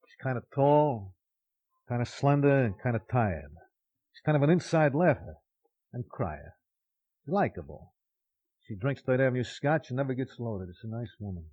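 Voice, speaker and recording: Actor as a muffled vintage-radio New wiseguy. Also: male voice, medium pitch, lively intonation, normal speed, pauses often, natural voice. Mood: quiet, reflective. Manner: colloquial, mock-formal phrasing. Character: chatty ex-convict informant, dry New York underworld wiseguy. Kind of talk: old-time radio crime drama acting.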